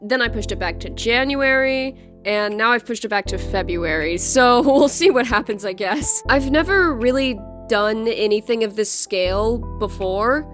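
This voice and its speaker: Stuffy-nosed young woman rambling about her project, laughs at herself a lot, soft music behind. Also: a little high, lively intonation, normal speed, few pauses. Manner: chatty, laughs often, self-deprecating. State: congested, sick. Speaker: animation project host. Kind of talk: casual vlog update.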